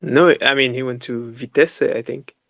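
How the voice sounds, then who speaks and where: A man's voice: medium pitch, normal speed, vocal fry, a bit bright, no room sound, highs cut off. A young man from Belgium chatting as a podcast guest, hesitant, with many fillers and slightly non-native phrasing. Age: young adult. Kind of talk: casual chat.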